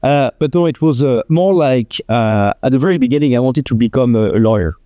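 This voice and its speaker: A man's voice: medium pitch, brisk, dark tone, dry recording. A middle-aged man from France with a French accent, a company president answering interview questions hesitantly with many fillers. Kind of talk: interview conversation.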